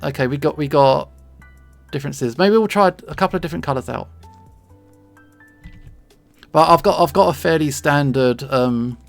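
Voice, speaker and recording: Creaky-voiced southeast English streamer, mid pitch. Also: moderate pace, pauses often, faint music behind. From southern England. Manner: clearly enunciated, pronounces T's; playful, chuckles often. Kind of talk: casual livestream chat while drawing.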